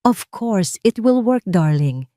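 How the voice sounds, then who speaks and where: A woman's voice: mid-range voice, sing-song, slow, mellow, dry recording, studio quality. A woman with a Filipino accent voicing an arrogant, scheming young villainess in an audio drama, smug and boastful. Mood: smug, gloating.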